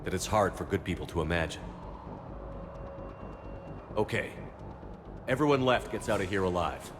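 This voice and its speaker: A man's voice: low voice, animated, normal speed, background noise. An actor playing a blunt, direct male starship commander who speaks tersely. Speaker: military commander. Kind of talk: video game voice acting.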